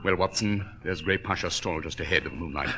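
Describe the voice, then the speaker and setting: An actor playing a keen, self-assured gentleman detective with a British accent and clipped, precise, commanding delivery, in 1940s radio style. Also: low voice, quick pace, noisy background. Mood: brisk, intent.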